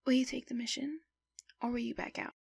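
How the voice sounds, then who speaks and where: A woman performs an authoritative middle-aged principal who secretly bosses a crew in an anime-style dub. Her voice sits on the high side, very creaky and raspy. She lays out business in a measured, controlled way. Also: little pitch movement, a little mellow, exaggerated voice. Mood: firm, expectant. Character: middle-aged female school principal running a criminal crew, commanding.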